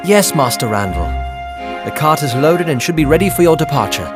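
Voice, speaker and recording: An actor playing a polite, modest servant in an animated game cutscene, formal, reserved and courteous. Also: male voice, a little high, a little fast, music in the background. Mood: deferential.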